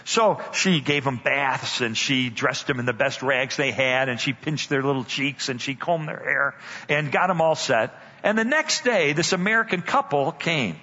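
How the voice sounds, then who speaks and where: An older man, a guest speaker, telling a story in a sermon with dramatic pauses and emphasis. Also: medium pitch, animated, pushed and bright. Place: church sanctuary. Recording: dull church hall reverb.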